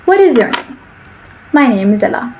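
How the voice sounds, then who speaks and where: A young woman with a Turkish accent, in her twenties, leads a slow English practice session. She talks in careful, simple sentences at a gentle, deliberate pace. Her voice sits a little high, and a faint background hum runs under the recording. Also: very animated. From Turkey. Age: 20s. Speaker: English teacher.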